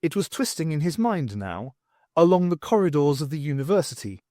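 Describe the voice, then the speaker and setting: Animated male audiobook narrator, dry close sound. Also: fairly high pitch, fairly quick, no room sound. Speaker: audiobook reader. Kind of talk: scripted narration of a science fiction novel.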